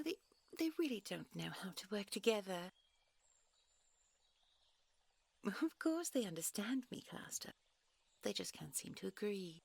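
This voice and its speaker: A woman playing a gentle, secretive fairy princess in an intimate audio roleplay, with a soft, breathy voice and a genteel, coy, polite manner. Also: medium pitch. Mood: coy, playful. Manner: genteel, coy, old-fashioned polite phrasing. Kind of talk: intimate audio roleplay acting, one side of a dialogue.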